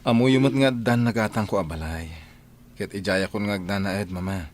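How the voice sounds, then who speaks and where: A man's voice: fairly low pitch, brisk, natural voice. An actor playing a stubborn, resolute young man who stands up to his parent in a radio drama, firm and exasperated. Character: defiant grown son in love, stubborn and resolute.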